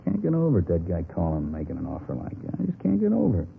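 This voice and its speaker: An actor playing a nervous, streetwise American bar owner in a radio drama, talking in emphatic, defensive slang. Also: male voice, low voice, huge pitch swings, very fast, gravelly, heavily acted. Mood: incredulous. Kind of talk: 1950s radio drama acting. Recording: tinny, noisy vintage radio recording.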